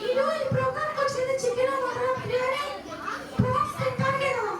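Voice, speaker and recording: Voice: extremely high pitch, fairly even intonation, quick pace. A puppeteer voicing a chatty, cheeky child puppet character in a live puppet show, chattering and teasing in a childlike way. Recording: echoey hall from far off, noisy crowd.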